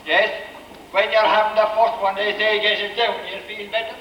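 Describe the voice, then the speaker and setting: Man as a high-voiced, brash Irish pantomime dame. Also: flat delivery, moderate pace, muffled recording, natural voice. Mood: jokey gossip. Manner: scolding, wisecracking. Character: brash, bawdy widowed governess, a pantomime dame. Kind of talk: broad amateur stage pantomime acting. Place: village hall stage, live performance. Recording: echoey hall stage, distant mic, noisy.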